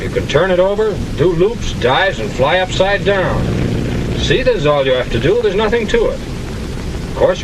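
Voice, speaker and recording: An American man playing a breezy, overconfident expert pilot who explains the controls in a 1940s training film. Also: a little high. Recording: noisy old film soundtrack, engine drone.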